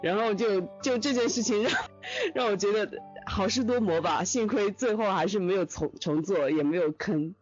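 A man with a high-pitched voice chats casually in a group. The sound is dull and lo-fi, like an online voice chat, with music behind him. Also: moderate pace. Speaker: audio drama sound editor.

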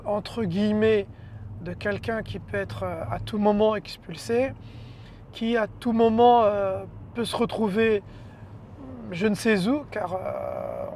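High-pitched hesitant man from France. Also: darkish tone. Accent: French. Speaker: interviewee. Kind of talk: personal testimony in a documentary. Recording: clear traffic and vehicle noise.

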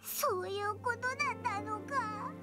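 A woman voicing a small, cheeky, childlike fairy companion in a Japanese anime game. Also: a little slow. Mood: curious. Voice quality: squeaky, childlike. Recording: game dialogue over music.

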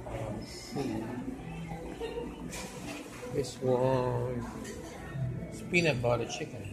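A man casually walking through a restaurant buffet, musing to himself as he fills his plate. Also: medium pitch. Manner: slow, musing, talks to himself while picking food. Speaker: vlogger. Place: restaurant buffet line, indoors. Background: loud background music, clinking dishes.

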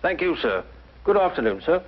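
An actor playing a polite, curious police sergeant in a 1950s mystery drama. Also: male voice, fairly high pitch, very animated, normal speed. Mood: deferential, friendly. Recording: dull, faintly noisy 1950s soundtrack.

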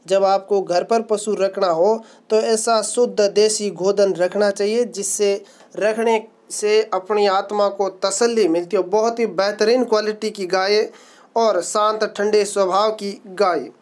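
A man, hosting his channel and presenting an animal for sale in a steady voice-over, listing its good points one after another in salesman patter. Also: high voice, unhurried.

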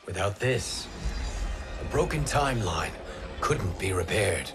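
An actor playing a calm, earnest male wind god in a game cutscene, with music under his voice. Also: low-pitched, measured pace, heavily acted. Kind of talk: video game cutscene acting.

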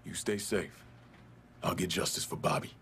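Deep-voiced, terse tough vigilante. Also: male voice, steady tone, moderate pace, fairly bright tone, slight background noise. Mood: dry, determined. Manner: terse, dry, determined. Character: tough, laconic vigilante war veteran man. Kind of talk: video game cutscene acting.

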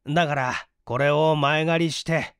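A man's voice: on the high side, fairly even intonation, a little slow, creaky, a bit bright, clean tone, no room sound, clean studio sound. A man voicing a hungry, penniless young man, weary but courteous, coaxing and grumbling politely in a dramatised story reading. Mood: coaxing, impatient.

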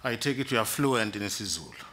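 A man's voice: fairly low pitch, animated, quick pace, fairly bright tone. A man on an interview panel, asking direct, pointed questions.

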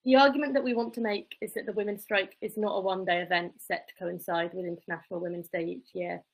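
A young woman with a croaky, recovering voice giving an earnest activist talk on a video call, partly reading aloud. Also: mid-range voice, low-quality recording. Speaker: activist speaker. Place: home room with plants and bookshelves. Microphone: laptop webcam on a video call.

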